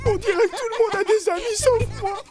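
A woman's voice: extremely high pitch, a little fast, loud music behind the voice. A woman voicing a naive, whiny, television-loving young male wild boar in a children's cartoon, with a childish, melodramatic manner. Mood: sulky. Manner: melodramatic, childish, dim-witted.

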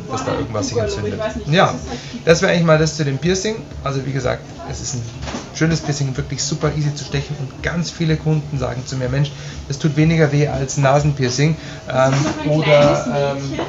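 A man's voice: medium pitch, creaky. A man in his forties with an Austrian accent, explaining piercing aftercare to the camera in a chatty, reassuring way, with many fillers, in a small tiled studio room. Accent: Austrian German. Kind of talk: casual explainer tutorial. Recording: dull sound with loud background hiss.

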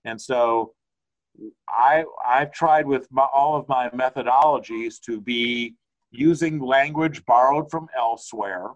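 A man's voice: medium pitch, takes it slowly, highs cut off. Older American male consultant on a video call, rambling and conversational, with a home-study webcam sound. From United States. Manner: rambling, conversational with frequent restarts.

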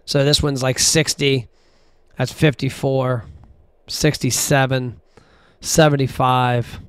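Blunt, animated man on a dry mic. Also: medium pitch, slow, clear, resonant tone, dry recording. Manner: blunt, animated, talks while scrolling and counting aloud. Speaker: podcast host. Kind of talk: casual solo podcast talk.

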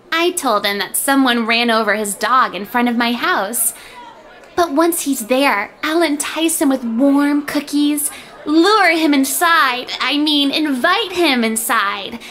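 A woman's voice: high voice, a bit bright. A woman voicing a lovesick, obsessive teenage chicken schoolgirl, dreamily narrating a diary in a game cutscene.

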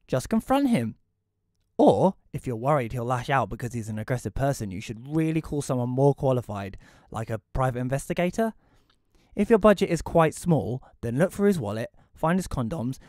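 A man playing a bitter, reclusive widower who narrates an audio play in a wry, deadpan way. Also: mid-range voice, no room sound, studio quality, natural voice.

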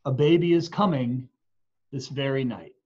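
A man's voice: mid-range voice, moderate pace, a little mellow, breathy edge, lo-fi sound, natural voice. A man voicing a weary traveling husband seeking shelter for his wife, calling out earnestly in a storybook reading. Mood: hushed.

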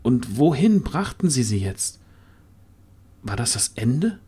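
A man's voice: mid-range voice, big pitch swings, takes it slowly, warm tone, husky. A man reading dramatic scripted narration for a fantasy audio drama.

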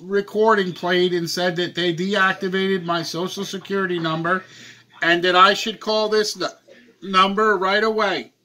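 A man putting on a confused, hard-of-hearing old man on a prank call, slow and insistent, with a strained raised voice. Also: sits high, level tone, sharp tone. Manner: slow, insistent, repeating himself. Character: confused, hard-of-hearing old man fretting about his benefit check. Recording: home room with some background noise.

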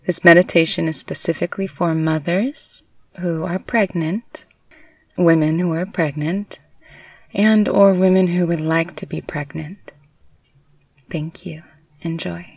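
A woman narrating a guided meditation in a soothing, unhurried, gently instructive way. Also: lowish voice, fairly even intonation, no echo. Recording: distant mic with faint background noise.

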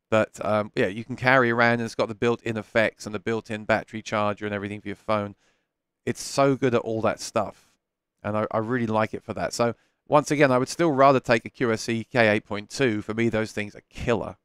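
A man's voice: on the low side, clear, resonant tone, no echo. A man in his thirties hosting a casual live stream from a small home studio, talking candidly through a headset mic and answering viewer questions with frequent restarts. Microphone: headset microphone.

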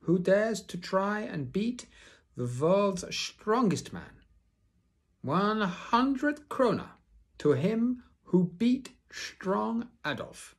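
A man's voice: very animated, very slow, exaggerated voice. A man voicing a pompous foreign circus ringmaster who bellows his announcements in broken English. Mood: grandiose. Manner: booming showman patter, broken grammar.

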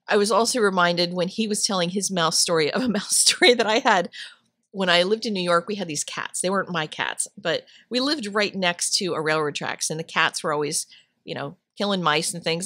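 A woman's voice: mid-range voice, a bit bright, dry recording. Middle-aged woman host, casual voice-over, chatty storyteller, dead-dry close mic. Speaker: Bible journaling channel host. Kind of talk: casual voice-over while drawing.

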